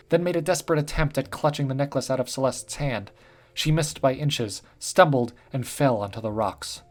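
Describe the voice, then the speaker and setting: Brief: male storyteller, mid-pitched, steady dramatic read. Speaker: narrator. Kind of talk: scripted story narration.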